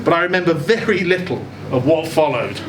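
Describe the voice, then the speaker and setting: A man giving an expressive live reading of a first-person short story. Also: fairly high pitch, a little fast. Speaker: story reader. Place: indoor event venue with audience. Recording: echoey room, clear background noise.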